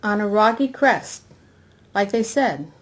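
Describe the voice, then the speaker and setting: A woman's voice: medium pitch, lively intonation, slow. Woman acting easygoing young commune woman. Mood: matter-of-fact. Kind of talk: dramatic reading of novel dialogue.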